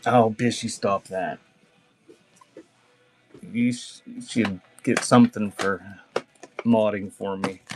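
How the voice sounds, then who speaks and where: A man's voice: lowish voice, steady tone, slow pace, pauses often, music in the background. A man chatting casually as a live-stream auction seller, rambling and teasing as he reads out items for sale. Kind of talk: casual live selling chat, reading out cassette titles. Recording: clearly noisy background with clicks.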